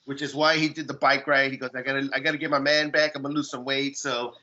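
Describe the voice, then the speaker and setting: A man's voice: mid-range voice, flat delivery, fast talker, bright, forceful tone, highs cut off. A man in his late forties co-hosting a podcast, joking and teasing in casual chat. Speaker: podcast co-host.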